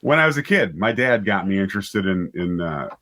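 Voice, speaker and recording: This is a middle-aged man with a fairly low voice, talking casually as an interview guest. He is enthusiastic and wanders as he goes, doubling back on words while he thinks out loud. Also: very animated, fairly quick. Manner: rambling, enthusiastic, repeats words as he thinks aloud. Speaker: interview guest, audio drama writer and director.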